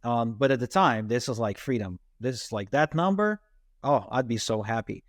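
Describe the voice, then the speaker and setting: A man's voice: mid-range voice, lively intonation, normal speed, no room sound. Male podcast guest, native Russian speaker, dry sound. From Ukraine, and Israel from age twelve. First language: Russian and Ukrainian. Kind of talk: conversational interview storytelling.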